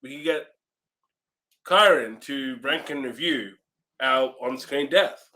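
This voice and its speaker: A man's voice: medium pitch, slow pace, pushed and bright. A man co-hosting a podcast in casual, joking banter. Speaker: podcast co-host.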